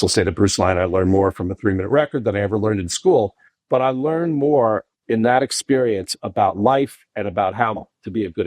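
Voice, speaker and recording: A middle-aged man, a podcast guest telling long animated anecdotes full of restarts. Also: dry recording. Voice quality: deep voice. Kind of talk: casual storytelling interview.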